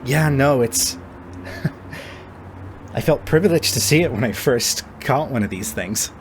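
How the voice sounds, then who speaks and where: Man voicing a gentle, kind-seeming woman, noisy background. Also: mid-range voice, lively intonation, slow pace, voice pushed up. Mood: wistful. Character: kind-seeming young woman, genuine, quietly joyful, secretly callous.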